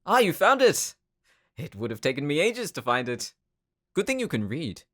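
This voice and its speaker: A man's voice: fairly high pitch, big pitch swings, moderate pace, fairly bright tone, dry recording, studio quality. A man voicing an earnest, homesick tech worker from a space colony in an audio roleplay, explaining things in a sometimes faltering way. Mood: excited. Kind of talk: anime-style audio roleplay acting.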